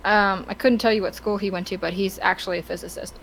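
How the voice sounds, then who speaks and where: A woman co-hosting a podcast, talking casually and with opinions in a halting, stop-start way as she searches for words. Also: medium pitch, steady tone, gravelly, a little hiss or hum, low-quality recording. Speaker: podcast co-host.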